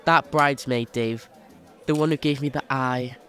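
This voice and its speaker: An actor playing a cheeky, boastful young chimney sweep in an audio drama. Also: male voice, medium pitch, steady tone, normal speed, a bit bright, faint music behind, some chatter behind, lightly acted.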